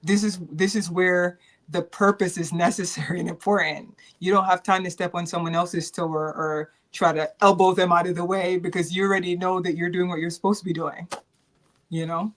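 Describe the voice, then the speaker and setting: A woman's voice: lowish voice, steady tone, fairly quick. A woman from New York and Jamaica speaking as an interview guest, earnest and reflective, often repeating phrases as she thinks aloud. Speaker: interview guest, performing artist.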